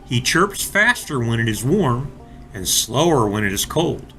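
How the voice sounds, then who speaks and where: A man reading a storybook aloud for young children, deliberate and expressive, speaking directly to his listeners. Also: mid-range voice, soft background music. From North Carolina, United States. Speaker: storybook reader for children, school resource officer.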